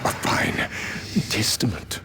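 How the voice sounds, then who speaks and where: A man playing a cold, scheming dark warrior commander in a video game, very deep and gravelly, measured and sinister. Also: whispered, close to an everyday voice. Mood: sinister, disdainful. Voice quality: very deep, gravelly, breathy at times. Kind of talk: video game cutscene villain acting. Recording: loud rumbling game noise behind.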